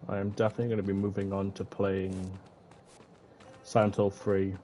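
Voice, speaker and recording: A man's voice: sits low, flat delivery, moderate pace, mellow, slight background noise. A young man who is a little ill, commentating a horror game on a headset and reading the in-game notes aloud. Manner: reads in-game notes aloud, muttering asides. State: slightly ill. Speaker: gaming streamer. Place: indoor room at a desk.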